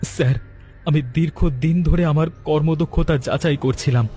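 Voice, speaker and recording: High, mellow, earnest young man voiced by an actor. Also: fairly even intonation, normal speed, soft background music, close to an everyday voice. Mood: determined. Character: anxious, lovesick young unemployed man, earnest. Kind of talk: dramatic cartoon voice acting.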